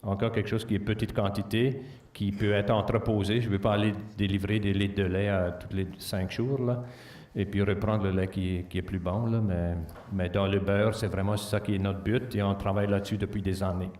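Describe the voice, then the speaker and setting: A farmer, a man whose first language is not French, answering audience questions in a plain, halting way. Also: lowish voice, level tone, a little mellow, a little hiss or hum. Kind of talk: Q&A at a conference. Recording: echoey hall, distant mic.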